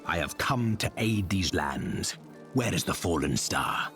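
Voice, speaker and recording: A man's voice: low voice, sing-song, measured pace, fairly bright tone, faint music behind, exaggerated voice. An actor playing a stern, stoic male warrior hero in a fantasy game, speaking in terse, solemn, formal phrases. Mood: stern, resolute. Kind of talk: dramatic fantasy game acting.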